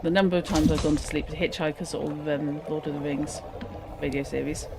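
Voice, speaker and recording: A woman chatting on her gaming stream, giggly and animated. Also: low voice, brisk, vocal fry, background noise. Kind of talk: casual gaming stream chat.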